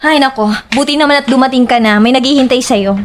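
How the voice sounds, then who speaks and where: Babaeng gumaganap na maawaing kaibigang Pilipina, mataas ang boses. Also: female voice, high-pitched, quick pace, music in the background, close to an everyday voice. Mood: apologetic, coaxing. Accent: Filipino. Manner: sympathetic, coaxing. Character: soft-hearted young woman, sympathetic friend and flatmate. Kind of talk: Tagalog audio horror drama acting.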